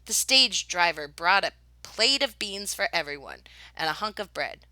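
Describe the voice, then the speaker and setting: Woman, storybook narration, lively swooping pitch, bright voice, close dry sound, expressive teacherly phrasing. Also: dry recording. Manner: animated, deliberate phrasing for young listeners. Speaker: teacher reading a picture book aloud.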